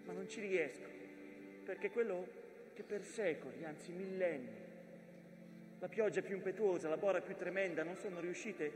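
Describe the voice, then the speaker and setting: An actor playing an ancient, weary mountain that narrates the devastation of war in a solemn, declamatory theatrical monologue. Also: male voice, high voice, echoey room, big-room sound, natural voice.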